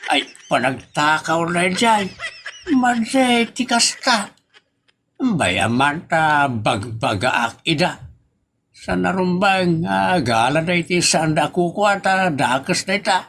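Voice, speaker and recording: A man's voice: fairly high pitch, lively intonation, slow pace, a bit bright, full character voice. A man acting a sly, meddling comic busybody with an Ilocano accent, exclaiming and evasive in a comedy drama. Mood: sly, defensive.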